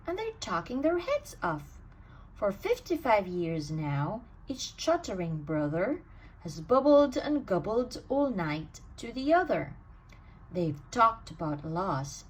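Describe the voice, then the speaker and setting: A woman reading a rhyming bedtime storybook aloud in an expressive, sing-song way. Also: mid-range voice, breathy edge, low-quality recording. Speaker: storybook reader.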